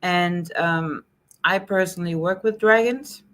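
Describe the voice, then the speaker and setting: A woman from Germany with a German accent, hosting a casual live question-and-answer stream, greeting viewers by name and talking in an unhurried way with many fillers. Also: fairly low pitch, a bit bright. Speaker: live stream host, spiritual healer. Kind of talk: casual live Q&A, reading chat comments.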